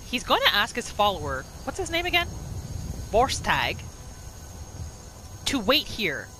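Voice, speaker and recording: Lively, bright-voiced woman gamer. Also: medium pitch, unhurried, a little hiss or hum. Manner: expressive, playful. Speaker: gaming channel host. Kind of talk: roleplay gameplay narration, casual storytelling.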